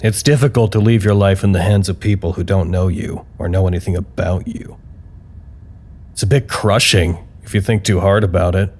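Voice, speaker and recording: An anxious, wry office worker. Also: male voice, low voice, steady tone, moderate pace, darkish tone. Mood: weary, musing. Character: anxious, earnest office man recording confessional reports to his superiors. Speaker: lead actor in an audio drama. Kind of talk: audio drama monologue, intimate log narration.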